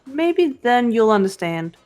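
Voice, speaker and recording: A woman voicing a calm, cool-headed young female detective who lays out her reasoning in a fan dub of a game. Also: a little high, soft background music. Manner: measured, explanatory.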